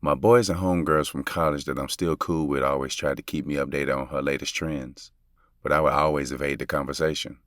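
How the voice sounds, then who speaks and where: A man's voice: very deep voice, steady tone, fairly quick, fairly bright tone, dry recording, clean studio sound. A male actor with an American accent playing a lovestruck, regretful basketball coach who confides in listeners in slangy, conversational audio drama narration. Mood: earnest, confiding. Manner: slangy, confessional, intimate. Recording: music playing under the voice. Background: music.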